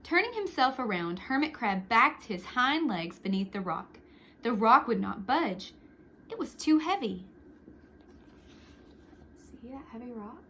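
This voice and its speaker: A woman reading a picture book aloud to young children, expressively, with short hushed asides to the listeners. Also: a little high, measured pace, pauses often, slight background noise. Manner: expressive, with hushed whispered sound words and asides. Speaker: storytime reader for young children.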